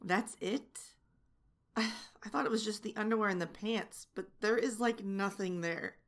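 A young woman playing a sharp-tongued, domineering flight attendant who mocks and taunts. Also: mid-range voice, a little slow, lightly acted. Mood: mocking. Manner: mocking, teasing taunts. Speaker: voice actor in an audio roleplay. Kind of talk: adult audio roleplay.

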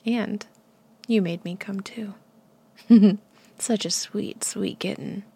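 A woman speaks in a slow, breathy whisper with a gravelly edge. She teases and gives soft commands in an intimate roleplay. Her voice sounds husky throughout. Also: medium pitch, fairly even intonation, dry recording.

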